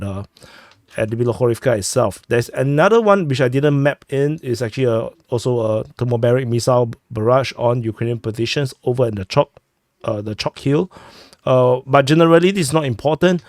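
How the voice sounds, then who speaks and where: A man who is sick, hosting a war update and walking through a map, talking haltingly with many fillers and restarts on a close mic. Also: mid-range voice, darkish tone, no room sound. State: sick, clearing throat. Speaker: war news host.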